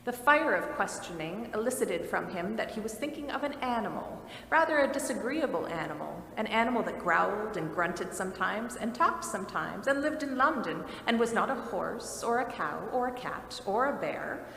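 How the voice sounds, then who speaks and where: A woman giving a clear dramatic reading of a classic story, recorded in an echoey room with a long reverb and faint noise. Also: medium pitch, lively intonation, moderate pace, few pauses, fairly bright tone. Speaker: reader.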